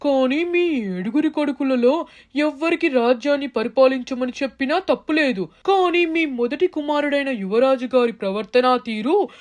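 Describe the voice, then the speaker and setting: Casting: woman to play cautious royal adviser, respectful, fairly high, children's tale. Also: moderate pace, darkish tone, lightly acted. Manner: deferential, formal.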